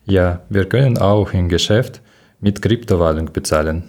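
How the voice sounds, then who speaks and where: Very deep-voiced man hosting. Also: normal speed, a little mellow. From Ukraine. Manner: leads the talk, asks questions, some hesitations. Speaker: podcast host. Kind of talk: casual chat, learner German.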